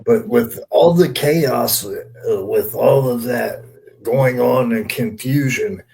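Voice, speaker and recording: A man's voice: mid-range voice, slow pace, vocal fry, a little mellow, slight background noise, low-quality recording. A man chatting casually as a podcast panelist, colloquial and given to swearing. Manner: profane, colloquial.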